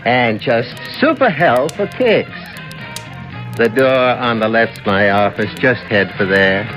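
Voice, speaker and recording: An actor playing a brassy, sardonic American nightclub owner with a mocking, slangy swagger in a 1970s radio drama. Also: male voice, medium pitch, expressive, slow, over music. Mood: mocking welcome.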